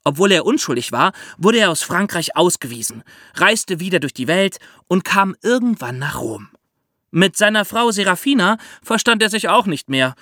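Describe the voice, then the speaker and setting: A man with a bright, fairly high voice hosts a podcast. He tells history conversationally, in a close, dry recording. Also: big pitch swings, moderate pace, no room sound. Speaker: podcast host.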